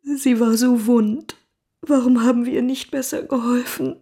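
An actress playing a tender, anxious, emotional woman in a radio drama, with a breathy voice. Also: a little high, fairly even intonation, unhurried, mellow. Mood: tender distress.

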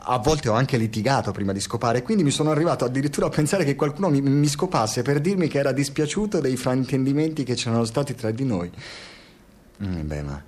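A man's voice: mid-range voice, lively intonation, fast, a little mellow, lightly acted. An actor playing a talkative, self-absorbed older man in a radio drama, telling his stories in a rambling, theatrical, hesitant way. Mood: musing, confiding.

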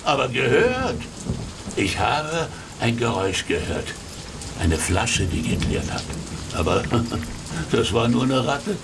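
An actor playing a nervous, talkative coachman witness in a German radio play, halting and easily flustered. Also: medium pitch, very animated. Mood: rambling, uneasy. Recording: distant, noisy street scene with rain.

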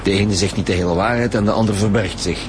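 A man's voice: lowish voice, steady tone, fast talker, noisy background, lightly acted. A man playing a dogged, blunt middle-aged lead detective with a Flemish accent in a radio drama. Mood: wry, decisive. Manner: blunt, commanding. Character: dogged, brisk male lead detective of a homicide squad.

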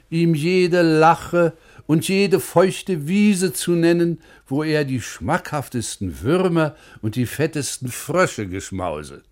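Slow, animated male reciter. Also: a little high. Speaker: reciter in a literary radio programme. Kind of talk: theatrical recitation of verse and historical texts, 1950s German radio.

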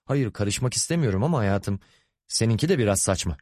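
A man's voice: lowish voice, animated, brisk, a little mellow, no room sound, clean studio sound, voice pushed up. A man voicing a proud, hot-tempered husband and father, stern and exasperated, with indignant warnings. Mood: dismissive.

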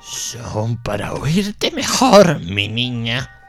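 A woman voicing a wolf disguised as a frail old grandmother in a fairy-tale drama, coaxing and gravelly. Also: rumbling low, big pitch swings, extremely slow, soft background music, heavily acted. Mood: coaxing, sinister.

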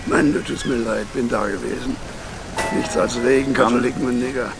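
An actor in a radio crime drama playing a bitter, bullying slob of a father with a gruff, bossy, complaining way of talking. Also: medium pitch, steady tone, normal speed, over music, lightly acted. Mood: sour, grumbling.

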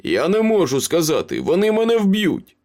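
A man's voice: sits high, huge pitch swings, normal speed, a little mellow, pitched above the performer's own voice. A man voicing a wounded, cowardly young male traitor in a storybook, pleading and protesting breathlessly. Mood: guilty, pleading. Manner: frantic, gasping pleas and protests.

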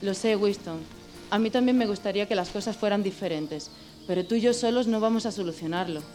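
A woman from playing a pragmatic, cautious rebel in a radio drama, measured and gently urging. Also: medium pitch, music in the background, close to an everyday voice. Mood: cautious, resigned. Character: pragmatic female rebel, cautious lover and comrade.

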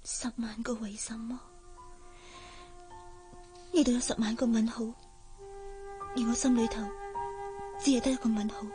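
Woman acting as young housemaid, high-pitched, Hong Kong Cantonese, earnest, wistful, deferential, radio drama. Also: steady tone, a little slow, pauses often, music in the background, natural voice. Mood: yearning, resigned. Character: earnest, lovesick young maid from a poor family.

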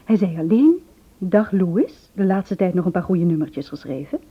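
A woman's voice: fairly low pitch, lively intonation, normal speed, husky. An actress playing a nervous, secretive young married woman who confides in a hesitant, earnest way in a radio drama. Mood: tense recounting. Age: 20s. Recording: muffled old radio play recording.

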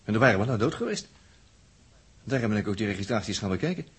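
Uneasy male crewman, very hoarse-edged timbre, swooping intonation, emphatic, for vintage space radio serial. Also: fairly low pitch, very animated, quick pace, fairly bright tone, full character voice. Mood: bewildered. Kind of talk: 1960s Dutch radio drama acting.

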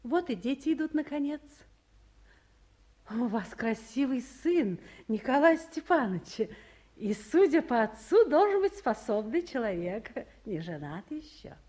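Middle-aged mother, high pitch, animated intonation, tender yet guarded, theatrical 1950s Soviet radio drama. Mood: guarded warmth. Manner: theatrical, expressive, slips between hushed pleading and brisk hospitality.

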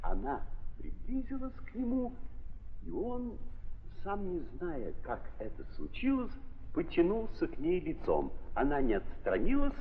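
A man narrating a literary drama in an expressive, deliberate 1930s theatrical style, with dramatic pauses. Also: a little high, a little hiss or hum. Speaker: narrator, stage actor. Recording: muffled 1930s recording, long reverberant hall.

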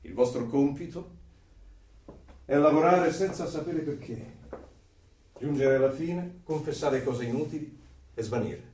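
An actor playing a solemn, fatalistic resistance man, speaking in a grave, measured way in an Italian radio drama. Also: on the high side, darkish tone, natural voice. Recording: very echoey, distant, music behind.

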